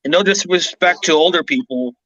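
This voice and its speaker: A man's voice: a little high, fairly quick, pushed and bright, no room sound. A man in his thirties, a co-host of a casual chat show, talkative and curious, with loose, wandering phrasing. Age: 30s. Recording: dull lo-fi audio.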